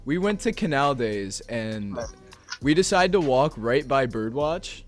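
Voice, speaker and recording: A young man in casual chat with friends, halting and repeating himself as he goes. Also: medium pitch, sing-song. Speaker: podcast guest or co-host. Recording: background music under voice. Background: music.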